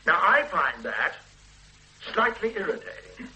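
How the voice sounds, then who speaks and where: An actor playing a measured, dryly authoritative police detective in a radio drama. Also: male voice, a little high, sing-song, sharp tone, exaggerated voice, poor audio. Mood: briefing. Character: methodical middle-aged police detective superintendent. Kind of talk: 1970s radio drama acting.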